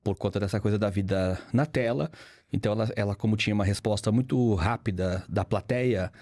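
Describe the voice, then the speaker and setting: A man's voice: lowish voice, brisk, warm tone, no echo, clean studio sound. A man aged fifty with a Brazilian accent, a guest teacher explaining things conversationally with examples and repetition. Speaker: guest, public speaking teacher.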